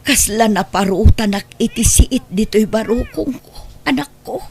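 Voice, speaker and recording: A woman's voice: fairly high pitch, moderate pace, a little mellow, slight background noise, lightly acted. A woman playing an anxious, tender mother in an Ilocano audio drama. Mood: weary, tender. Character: anxious, tender mother protecting her daughter.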